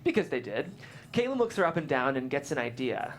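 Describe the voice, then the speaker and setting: A narrator reading stage directions aloud at a script table read, amused and lively. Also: medium pitch, a little fast, faint music behind.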